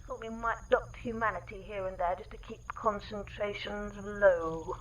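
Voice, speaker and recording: A woman's voice: mid-range voice, moderate pace, a little hiss or hum, natural voice, rough recording. A woman playing a chirpy, furtive, eccentric character in an audio comedy drama.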